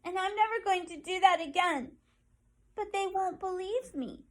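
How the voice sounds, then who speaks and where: Little porcupine, lonely but eager, very high and earnest, as a woman reads her. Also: big pitch swings, normal speed, sharp tone, exaggerated voice. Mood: sad. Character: lonely young female porcupine, gentle.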